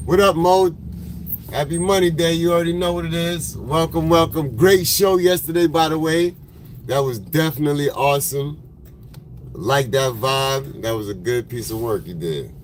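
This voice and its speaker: A man's voice: a little high, normal speed. A man with a smoker's voice, chatting casually with viewers on a livestream from his car in traffic. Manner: laid-back, rambling, slangy, greets viewers by name. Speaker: photographer hosting a livestream. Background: faint vehicle noise.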